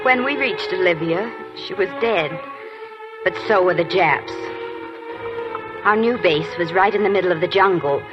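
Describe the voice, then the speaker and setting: An actress playing a tough army nurse lieutenant who tells the story and trades dry, teasing lines in a 1940s radio drama. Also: female voice, lowish voice, moderate pace, pauses often, highs cut off, lightly acted. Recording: 1940s radio broadcast under music.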